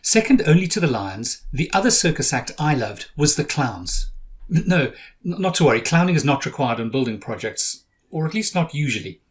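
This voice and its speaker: A man's voice: mid-range voice, very animated, normal speed. A middle-aged man from South Africa hosting a podcast, narrating from a script with dry humor. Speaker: podcast host.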